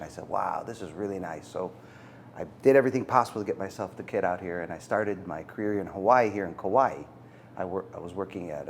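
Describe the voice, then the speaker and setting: A middle-aged man, a physician guest, answering interview questions in an earnest, halting way with many fillers. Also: on the low side, a little hiss or hum. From United States. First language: Macedonian.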